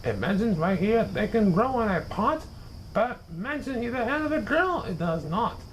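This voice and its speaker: A learned, self-important school principal as a male storyteller puts him on from a children's storybook. He declaims with wide swings of pitch over faint noise. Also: very animated, normal speed. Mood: lecturing. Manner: declamatory, pompous.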